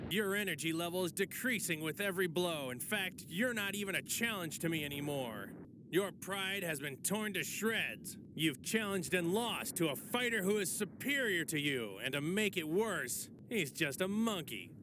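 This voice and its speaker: A coolly taunting fighter. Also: male voice, high voice, lively intonation, normal speed. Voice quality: cool, taunting. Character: confident young male martial artist, taunting. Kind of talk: anime dub acting. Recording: clear noise behind.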